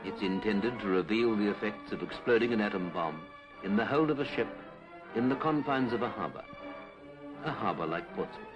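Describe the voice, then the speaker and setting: A British man reads a scripted 1950s public information film narration in a formal, measured, clipped manner. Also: lowish voice, expressive, muffled recording. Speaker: documentary narrator. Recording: 1950s film soundtrack with music.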